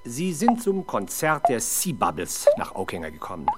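A man's voice: mid-range voice, huge pitch swings, normal speed, heavy background noise. A man playing a sceptical, sardonic middle-aged police interrogator in a 1970s German radio drama, dry and needling. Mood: stern, sardonic.

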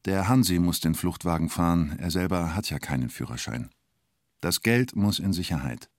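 An actor as the narrator of a radio play about a robber, speaking in terse, deliberate, clipped phrases. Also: male voice, low-pitched, no room sound, clean studio sound. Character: male narrator telling a bank robber's story tersely. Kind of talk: radio play narration, short present-tense sentences.

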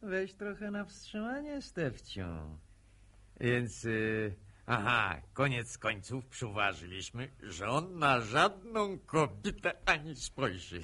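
A man's voice: medium pitch, big pitch swings, slow pace, sharp tone, exaggerated voice. An actor playing a smirking, needling village man in a Polish radio drama, mock-gallant and mocking in manner. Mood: mocking, amused. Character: smirking, needling village man, ex-corporal, teasing a courting couple.

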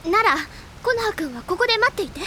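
A woman's voice: very high voice, sing-song, fast, background noise. A woman playing a bold but easily spooked, pouty teenage schoolgirl, the older student, in an anime-style audio drama. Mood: worried, determined. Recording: clear background music under the voice. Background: music.

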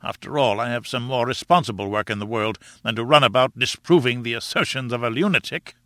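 A bitter elderly professor, acted out by a male narrator, speaks with a bright, cutting edge. He is formal, indignant and scornful of everything, like a sceptic in an adventure storybook. The sound is close and dry. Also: mid-range voice, brisk, no echo, close to an everyday voice.

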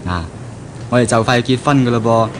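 A faintly noisy 1970s radio drama recording carries a man acting a young, pragmatic fiancé. His voice sits at a medium pitch. He explains himself earnestly, in the reasoning, reassuring manner of a new graduate planning a household. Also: fairly quick, natural voice. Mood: light, chatty. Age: young adult.

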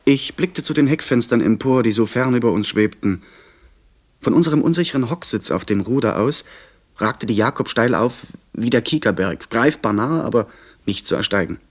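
An actor playing a young gentleman who recounts his sea adventure in measured, literary radio drama narration. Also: medium pitch, expressive, lightly acted. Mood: absorbed, suspenseful. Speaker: narrator and lead character. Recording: 1970s radio play, faint background noise.

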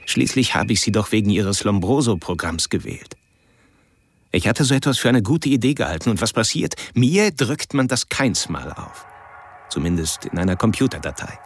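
Low, creaky-voiced, coolly ironic man. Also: big pitch swings, a little hiss or hum. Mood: bitter irony. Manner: measured, wry, self-righteous. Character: polite, ironic, secretly sinister male narrator confiding his thoughts. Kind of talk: radio drama inner monologue.

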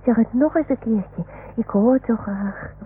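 A woman's voice: on the high side, a little slow, natural voice. A woman playing a small, devoted toy dog in an old radio drama, childlike and eager to please. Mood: trusting, wistful. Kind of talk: 1960s Dutch radio drama acting. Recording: noisy, muffled old radio recording.

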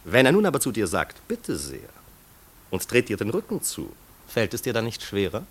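An actor playing an excitable, self-assured marquis in a 1950s radio play, theatrical and musing in his delivery. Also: lowish voice, moderate pace, breathy edge, heavily acted. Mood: insistent, musing. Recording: 1950s radio drama recording.

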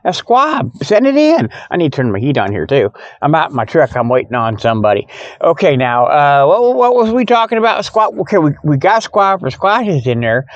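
A man's voice: a little high, huge pitch swings, normal speed, dry recording. A man hosting a rambling, casual show from his parked truck in a folksy, jokey, exclamatory way. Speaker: podcast host. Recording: dull, muffled lo-fi audio.

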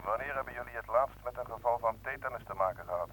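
An actor playing a calm, probing doctor in a radio play. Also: male voice, medium pitch, fast talker, lightly acted, rough recording. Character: calm, probing male doctor sounding out colleague. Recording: old radio play recording, faint noise.

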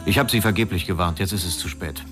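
A man's voice: low-pitched, fast, close to an everyday voice. An actor playing a stern, insistent man giving grave warnings in a radio crime drama. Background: music.

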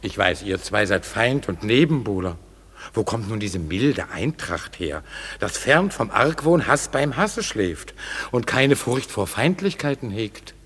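A ruling duke of a classic radio drama, declaiming his orders with authority. His pitch swings lively up and down, and each phrase trails into long, hall-like reverb. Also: unhurried, slightly rough, full character voice. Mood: commanding. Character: commanding duke, lord of the hunt. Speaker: ruler. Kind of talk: classic radio play acting.

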